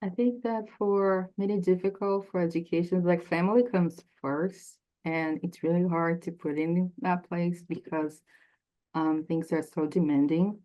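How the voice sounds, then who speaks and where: A woman's voice: lowish voice, fairly even intonation, measured pace, warm tone. A woman from Peru speaking on a panel in a heartfelt, personal way. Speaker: panelist, engineer. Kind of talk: casual panel talk. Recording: dull lo-fi sound.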